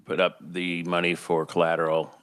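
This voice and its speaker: A man's voice: deep voice, completely flat delivery, normal speed, a bit bright, clear, resonant tone, dry recording. A man chairing a legislative committee hearing, asking questions and calling witnesses in an easygoing way.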